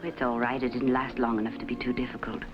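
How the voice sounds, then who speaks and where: A gracious noblewoman in a vintage radio drama. Her low voice is composed, careful and reassuring, on a dull old recording with music. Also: level tone, fast talker. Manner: polite, careful, hesitant. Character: composed, gracious, reassuring titled lady and wife.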